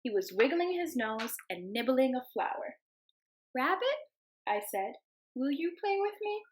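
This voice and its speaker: A young woman teacher reading a picture book aloud to her class in a gentle storytelling voice, calling the animals in a sing-song tone. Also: sits high, sing-song, measured pace. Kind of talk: children's read-aloud.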